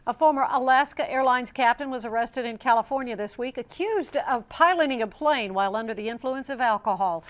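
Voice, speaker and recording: A woman's voice: a little high, a little fast, few pauses. A woman anchoring a television newscast, reading scripted stories in a formal news delivery.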